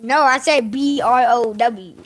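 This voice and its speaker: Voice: medium pitch, measured pace, a bit bright. A gamer chatting casually with slangy callouts to teammates during play. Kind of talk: casual chat while gaming. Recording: very noisy game-chat mic.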